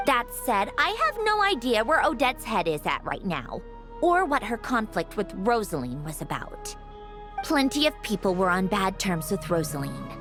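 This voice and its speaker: A woman playing a composed, analytical young woman in a video game, frank and measured. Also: mid-range voice, close to an everyday voice. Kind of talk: video game dialogue acting. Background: game music.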